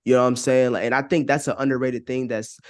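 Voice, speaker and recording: American man, casual podcast opinions, rambling, repetitive phrasing, salty language, middling pitch. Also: little pitch movement, quick pace. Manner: rambling, repeats phrases, frequent "you know what I'm saying", profane.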